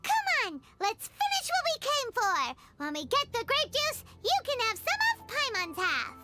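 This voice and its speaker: A woman voicing a tiny, excitable, childlike fairy companion in a video game, chirpy in manner. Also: extremely high pitch, normal speed, full character voice. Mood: eager, cheerful. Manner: chirpy, speaks of herself in third person. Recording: loud music behind the voice.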